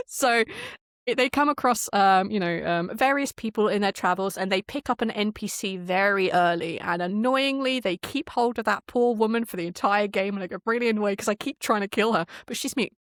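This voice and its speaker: Fast-talking, chatty woman telling stories. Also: medium pitch, a bit bright, no echo, studio quality. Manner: chatty, emphatic, swears casually. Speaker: podcast guest, game master and audio drama creator. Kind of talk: animated casual interview storytelling.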